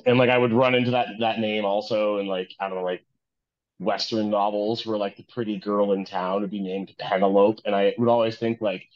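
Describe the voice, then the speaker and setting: A man in casual podcast chat over a video call, speaking colloquially with animated repetition. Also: on the low side, a little fast. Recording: dull lo-fi call audio.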